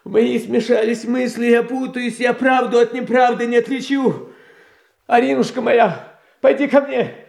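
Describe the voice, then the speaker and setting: An actor playing a meek, anxious young tsar who talks in a hesitant, confused, pleading way in a theatrical radio play. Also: male voice, extremely high pitch, unhurried, gravelly, a little mellow, heavily acted. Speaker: title character in a radio play.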